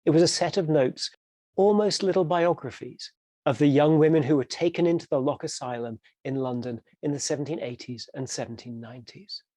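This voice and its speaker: In a dry recording, a man with a fairly high voice gives a scripted introduction. He explains in the measured manner of a professor. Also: warm tone, dry recording. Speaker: professor introducing an audio drama.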